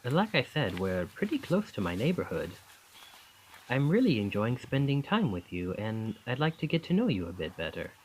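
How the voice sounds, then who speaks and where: A man's voice: medium pitch, big pitch swings, moderate pace, darkish tone, slight background noise, natural voice, rough recording. A man playing a charming young college student who is secretly a possessive, otherworldly captor, in an intimate, coaxing ASMR roleplay. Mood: friendly, coaxing.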